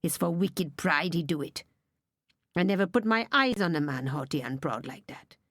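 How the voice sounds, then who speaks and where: A woman's voice: lowish voice, animated, normal speed, a bit bright, dry recording, clean studio sound. A woman doing a bitter man. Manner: brooding, scornful, preacher-like. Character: bitter resentful middle-aged mixed-race man. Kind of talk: audiobook reading.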